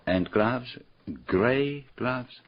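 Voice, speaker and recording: An actor playing a courteous, probing foreign detective in a radio drama, with formal foreign phrasing and deliberate questions. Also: male voice, mid-range voice. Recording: dull, muffled radio drama recording.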